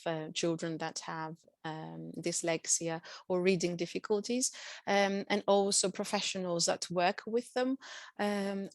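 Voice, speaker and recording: Low, gravelly woman with Greek as a native language. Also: dry recording, studio quality. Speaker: university lecturer and researcher. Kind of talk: online presentation. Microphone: video call.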